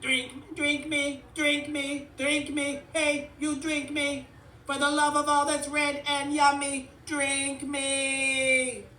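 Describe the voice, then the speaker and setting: A storyteller voicing a desperate, pushy juice box robot, loud, repetitive and demanding. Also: sits high, monotone, slow, a little hiss or hum, pitched above the performer's own voice, full character voice. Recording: roomy, distant mic.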